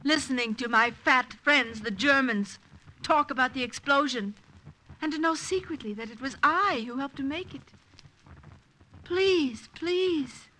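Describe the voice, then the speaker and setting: A woman's voice: high voice, unhurried, pushed and bright. A woman playing an eager, impish young Italian partisan girl with an Italian accent in a 1950s radio drama. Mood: pleading, insistent. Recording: noisy old radio recording.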